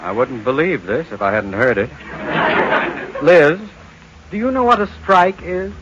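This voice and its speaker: Blustery, wry older boss, noisy recording. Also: male voice, a little high, lively intonation, unhurried, highs cut off. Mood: incredulous. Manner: blustery, sardonic. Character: Mr. Atterbury, wry, grumbling middle-aged bank boss. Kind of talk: 1940s radio comedy acting. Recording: noisy old radio broadcast recording.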